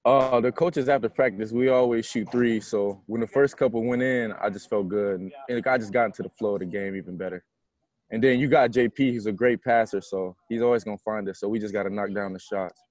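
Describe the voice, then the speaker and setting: A young man with a fairly low voice speaks as a college athlete after a game. He answers in an informal, plain-spoken way, talking into an earphone mic on a video call. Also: fairly even intonation, a little fast, a little mellow. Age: young adult. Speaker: college basketball player. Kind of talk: post-game press conference answers. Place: indoor basketball arena, courtside. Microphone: earphone headset on a video call.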